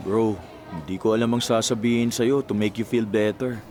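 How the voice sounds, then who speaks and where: A man's voice: lowish voice, fairly even intonation, moderate pace, lightly acted. An actor with a Filipino accent playing a supportive, practical young male friend in a Tagalog radio drama. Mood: consoling. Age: young adult. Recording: loud music under the voice.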